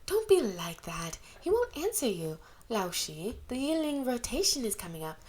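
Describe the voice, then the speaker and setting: A pushy young woman from a storybook, acted by a woman reader. She speaks in a raised pitch, chiding and coaxing with a teasing edge. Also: sing-song, moderate pace, a little mellow. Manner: chiding, cajoling.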